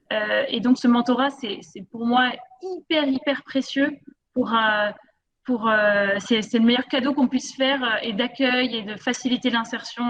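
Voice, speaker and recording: Woman, fairly high voice, spontaneous testimonial, hesitant and emphatic, repeats words, slightly muffled sound. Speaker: corporate mentor giving testimony. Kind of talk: spontaneous spoken testimonial at a conference.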